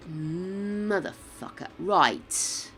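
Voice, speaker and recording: An older woman streaming a building game, chatting casually, swearing freely and muttering to herself, her voice croaky from a sore throat. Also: fairly low pitch, lively intonation, very slow, a bit bright, slight background noise.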